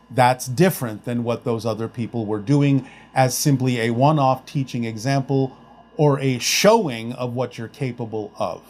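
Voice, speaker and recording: Guy channeling a calm formal spirit being, talks as "we", even mid voice, explains slowly and carefully. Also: mid-range voice, lightly acted. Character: calm formal male spirit guide answering questions. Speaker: channeled entity answering audience questions. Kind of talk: spoken Q&A session.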